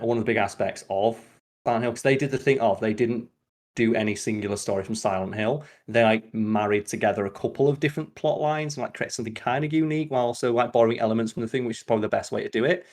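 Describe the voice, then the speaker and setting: A man hosting a podcast, chatting casually and retelling scenes in an animated, rambling way full of filler "like". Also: lowish voice, fast talker. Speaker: podcast host. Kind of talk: casual podcast chat about films.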